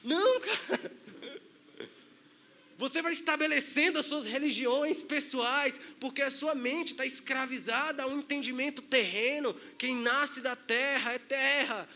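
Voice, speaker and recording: A man's voice: very high voice, unhurried, sharp tone. A male pastor with a Brazilian accent, preaching a sermon in a loose, conversational way and acting out the voices he quotes. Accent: Brazilian Portuguese. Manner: conversational, acts out quoted voices, laughs at his own points.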